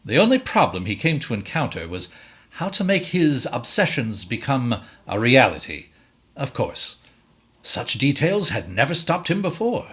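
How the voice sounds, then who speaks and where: A man's voice: medium pitch, sing-song, normal speed, a bit bright. A man narrating a scripted story in a dramatic storytelling style.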